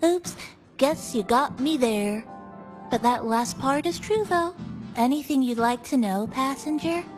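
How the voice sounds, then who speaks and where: A kindly, playful game cafe attendant speaks in a high register. She takes her time, gently teasing as she looks after a passenger. Music plays clearly underneath. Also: animated, measured pace. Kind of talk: video game character dialogue. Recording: background music behind the voice. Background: game background music.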